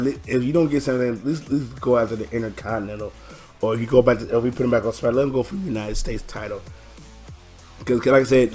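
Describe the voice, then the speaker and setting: A man talks through a casual reaction video on his own channel, his voice hoarse and worn. He rambles, stalls on fillers and restarts his sentences at an ordinary mid pitch. Faint music sits underneath him. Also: a little mellow. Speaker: channel host.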